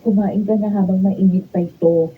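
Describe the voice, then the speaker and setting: A woman's voice: mid-range voice, fairly even intonation, moderate pace, close to an everyday voice. A woman acting a jealous, pleading wife in a Filipino radio drama, with a Filipino accent. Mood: coaxing. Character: jealous, pleading wife begging for her husband's love. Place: radio drama recording session. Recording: tinny, noisy band-limited raw studio video.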